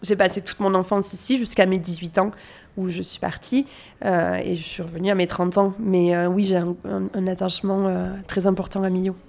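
A woman's voice: fairly low pitch, level tone, a little fast, vocal fry, a little mellow. A woman in her thirties from France, a doula, talking casually in an interview, hesitant with many fillers and restarts, recorded in a small family café. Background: family chatter in the café.

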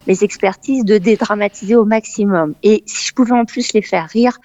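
Animated French woman, muffled dry sound. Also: mid-range voice, moderate pace, dry recording. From Paris, France. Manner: animated, exclamatory, many hesitations. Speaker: novelist guest, former dental expert. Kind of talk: radio interview, conversational.

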